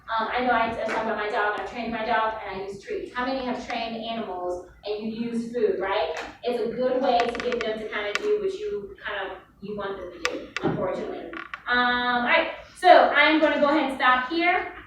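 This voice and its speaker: A woman's voice: fairly high pitch, brisk. A woman teacher reading aloud and leading a discussion with her class. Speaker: middle school teacher. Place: indoor classroom. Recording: very echoey classroom, distant mic, noisy.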